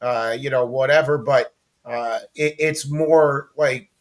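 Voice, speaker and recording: A man's voice: mid-range voice, steady tone, slow. An American man hosting a casual, conversational interview. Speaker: talk show host interviewer.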